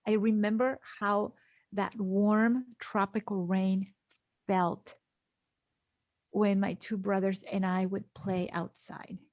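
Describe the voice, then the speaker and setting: A woman's voice: medium pitch, flat delivery, takes it slowly, dry recording, lo-fi sound. A middle-aged woman from Guatemala telling her personal story as an interview guest, earnest and emphatic, repeating phrases for weight. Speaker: podcast guest, speaker and executive coach.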